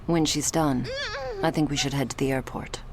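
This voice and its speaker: A woman playing a cool, commanding vampire bodyguard in a crime syndicate, calm and authoritative, in a dramatic audio roleplay. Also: very deep voice, moderate pace. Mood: wary. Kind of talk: dramatic ASMR roleplay acting.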